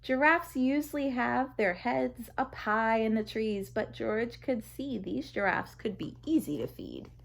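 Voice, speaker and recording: A woman narrating a children's picture book aloud in an expressive, deliberate storytelling manner. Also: fairly high pitch, creaky.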